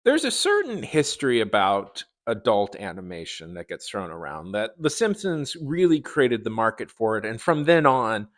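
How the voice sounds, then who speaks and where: A man giving a conversational, opinionated review in a wry, animated manner. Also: fairly low pitch, moderate pace, few pauses. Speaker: video reviewer. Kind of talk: conversational review commentary to viewers.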